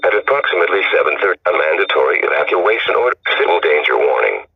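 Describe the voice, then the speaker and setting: A man's voice: extremely low pitch, big pitch swings, fast talker, creaky, slightly rough, heavily acted. A synthetic man's voice playing a stern emergency alert announcer, reading formal official warnings mechanically through a radio filter. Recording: muffled, distant radio-alert audio.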